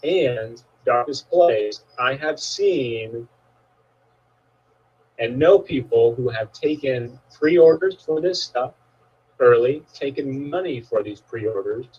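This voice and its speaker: Husky man, host, laid-back and chatty, dull lo-fi sound. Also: medium pitch, slow pace, a little mellow. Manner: laid-back, agreeable, filler-heavy. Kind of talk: casual conversational interview.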